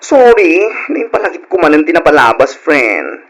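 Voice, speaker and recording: A man's voice: fairly high pitch, very animated, normal speed, fairly bright tone. A man playing a flamboyant, gossipy, teasing friend in an Ilocano radio drama, with campy exclamations. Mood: apologetic, nosy.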